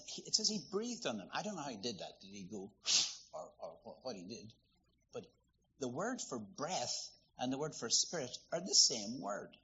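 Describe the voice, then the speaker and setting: Bright-voiced preacher. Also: male voice, mid-range voice, dull audio, a little hiss or hum. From Northern Ireland. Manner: storytelling, lively, conversational. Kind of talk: sermon.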